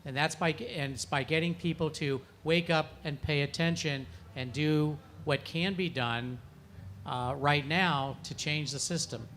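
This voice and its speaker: A man's voice: fairly high pitch, steady tone, a little slow, a bit bright, slight background noise. A man speaking as a panelist on an outdoor festival stage, urgent and exhorting, often restarting his phrases. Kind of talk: panel discussion.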